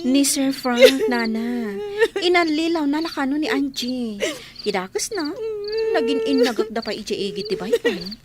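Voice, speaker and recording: A woman's voice: high voice, very animated, measured pace, heavy background noise. A woman playing a meek, dutiful, resigned housemaid in a radio drama, speaking in a subdued way. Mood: concerned. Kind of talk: Ilocano radio drama acting.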